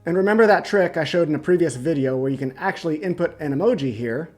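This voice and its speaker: A man presenting a step-by-step software tutorial, explaining things methodically as he goes. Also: fairly high pitch, animated, quick pace. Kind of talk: step-by-step screen tutorial narration. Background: background music.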